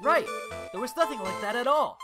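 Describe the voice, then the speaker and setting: A woman's voice: on the high side, animated, fairly quick, natural voice. A woman voicing a naive, emotional young male student on trial, stammering and pleading in a dramatic fan dub. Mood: earnest recounting. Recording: loud music behind voice. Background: loud music.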